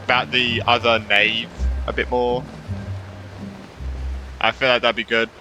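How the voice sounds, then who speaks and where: A man's voice: mid-range voice, little pitch movement, unhurried, sharp tone, highs cut off, faint music behind. A man doing casual gaming commentary, reacting and swearing freely, sometimes reading dialogue aloud. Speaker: gaming streamer.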